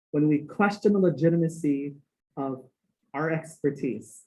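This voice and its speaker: A man's voice: a little high, a little mellow, poor audio. A man from Hawaii giving an earnest academic talk as guest lecturer, with rhythmic phrasing and playful asides.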